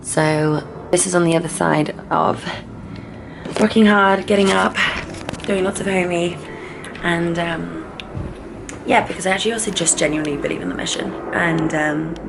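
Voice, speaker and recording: Twenties woman, lowish croaky voice, chatty and excitable vlogger. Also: moderate pace, long pauses, noisy background. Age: 20s. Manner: chatty, excitable, lots of filler words. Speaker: startup founder. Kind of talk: casual vlog chat to camera.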